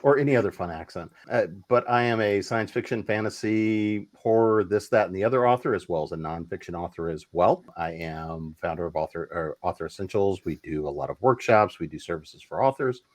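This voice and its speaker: Author panelist, male, lowish voice, loose talker who riffs. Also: brisk, hardly any pauses. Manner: loose, conversational, with fillers and restarts. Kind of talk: casual panel discussion.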